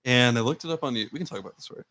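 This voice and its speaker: A man's voice: mid-range voice, rapid-fire, pushed and bright. A young man in his early twenties, a podcast co-host, rambling casually, laughing often and swearing. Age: young adult. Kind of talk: casual chat.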